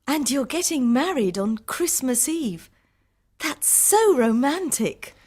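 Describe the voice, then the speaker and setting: A woman playing a kind, romantic, conciliatory woman in her early twenties, expressive, in acted audiobook dialogue. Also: sits high, slow, breathy edge, heavily acted. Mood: warm interest.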